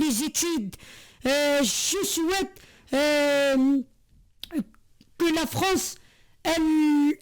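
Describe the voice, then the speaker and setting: A woman in her forties from Algeria, talking to camera in an earnest, repetitive way with many hesitations. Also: high voice. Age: 40s. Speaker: vlogger. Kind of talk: heartfelt monologue to camera.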